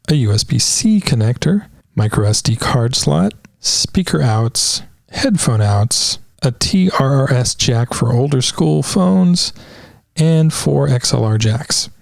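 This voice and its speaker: Wry man reviewing gear, gravelly voice. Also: mid-range voice, a little mellow, no room sound. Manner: wry, sarcastic asides. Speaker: product reviewer. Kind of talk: casual product walkthrough with dry jokes.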